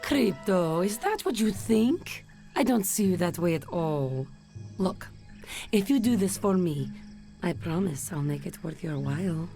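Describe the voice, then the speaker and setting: An actress playing a sly, composed Soviet secret agent with a Russian accent and a dry, teasing manner in a video game. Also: female voice, on the low side, big pitch swings, a little slow, vocal fry, dark tone, full character voice. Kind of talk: video game cutscene acting. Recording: background music under dialogue.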